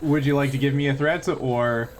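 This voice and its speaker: A man acting as game master of a casual tabletop sci-fi game, explaining things in a halting, hesitant way. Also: mid-range voice, steady tone, noisy background.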